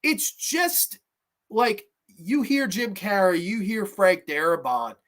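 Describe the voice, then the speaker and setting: Congested, bright-voiced young man reviewing movies. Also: high-pitched, animated, takes it slowly. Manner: rambling, opinionated, swears casually, reads chat comments aloud. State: congested with a slight cough. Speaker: host of a horror movie review livestream. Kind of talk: casual livestream review chat.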